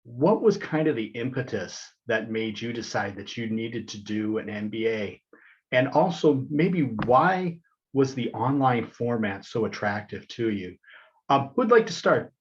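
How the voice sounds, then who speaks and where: A man's voice: mid-range voice, lively intonation, measured pace, dull audio. A man moderating an online panel discussion, addressing the guests conversationally.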